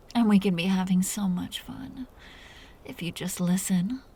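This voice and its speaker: Slow, creaky-voiced seductive vampire woman, acted by a woman. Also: mid-range voice, a little hiss or hum. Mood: flirtatious. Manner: slow, teasing, breathy half-whispers with soft chuckles. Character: teasing, seductive, dominant female vampire captor. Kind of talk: intimate roleplay audio drama.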